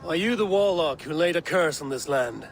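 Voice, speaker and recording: An actor playing a wry, pragmatic, commanding Viking warrior in a video game, with dry, understated delivery. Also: male voice, on the high side, expressive, moderate pace, vocal fry, faint music behind. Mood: cool, questioning. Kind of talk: video game character acting.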